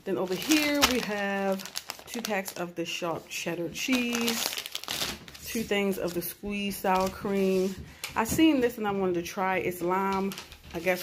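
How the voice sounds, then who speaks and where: A woman's voice: medium pitch, slight background noise. A woman in her thirties filming a casual grocery haul on her phone, chatting as she lists each item. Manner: chatty, lists items as she shows them. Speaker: vlogger mom. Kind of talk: casual shopping vlog and haul. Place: in a store, then at home. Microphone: handheld phone camera. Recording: loud crinkling of bags. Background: crinkling packaging.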